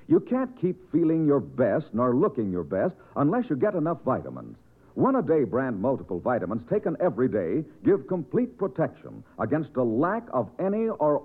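Swooping American radio announcer, narrow vintage sound. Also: male voice, fairly high pitch, breathy edge. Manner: emphatic, persuasive salesmanship. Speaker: radio announcer and sponsor spokesman. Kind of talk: 1950s radio commercial announcing.

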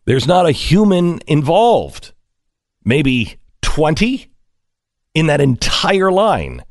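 A man's voice: fairly high pitch, huge pitch swings, takes it slowly, dry recording, studio quality. A man in his fifties hosting a talk radio show, wry and questioning as he leads the talk. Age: 50s. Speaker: radio host. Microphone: studio microphone.

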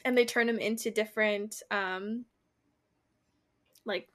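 A woman co-hosting a podcast in casual, unscripted chat, telling stories in a giggly, animated way. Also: medium pitch, takes it slowly. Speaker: book podcast co-host.

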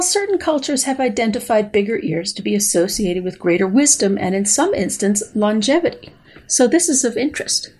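A woman's voice: mid-range voice, darkish tone, husky. A woman speaking as a guest scientist, reading research aloud and commenting in an articulate, precise way. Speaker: guest biologist.

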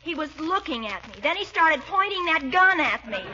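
Whiny, high-pitched teenage girl. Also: sing-song, normal speed. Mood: petulant. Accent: American. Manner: whiny, complaining. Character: petulant teenage girl, fussy niece. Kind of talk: 1940s radio comedy acting. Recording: dull, noisy old radio recording.

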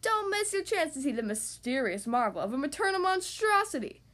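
A woman voicing an excitable young boy rabbit in a children's storybook, whiny and boastful in a dramatic, exclaiming manner. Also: sits high, brisk. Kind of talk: children's storybook read-aloud.

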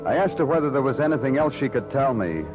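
An actor playing a weary, probing police detective who narrates his own cases in a measured, terse 1950s radio crime drama style. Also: mid-range voice, big pitch swings, natural voice. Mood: brooding narration. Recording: muffled old radio broadcast with music.

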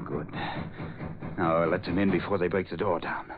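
A man's voice: low voice, little pitch movement, moderate pace. A man playing a cautious, polite French village father with a French accent, in old radio drama style. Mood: urgent, guarded. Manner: deferential, careful. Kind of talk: 1940s radio acting. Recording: muffled, noisy old radio recording.

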